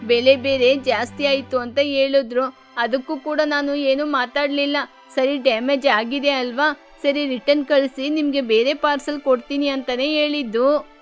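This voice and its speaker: A woman's voice: high voice, fairly even intonation, normal speed, lightly acted. Woman voicing a hot-tempered young bird. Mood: defensive. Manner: sharp-tongued, quarrelsome. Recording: faint music behind.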